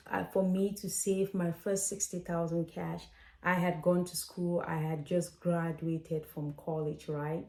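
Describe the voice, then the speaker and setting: A woman's voice: lowish voice, dark tone. Chatty woman from Cameroon, echoey room. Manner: chatty, direct, addresses viewers as "guys". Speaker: financial coach and host. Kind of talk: casual to-camera advice vlog.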